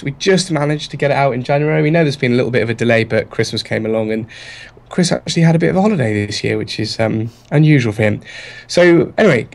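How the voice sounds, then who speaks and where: A man's voice: medium pitch, vocal fry. A man with a cold, sounding sniffly, hosting a casual chat over a game demo with jokey interjections. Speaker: host.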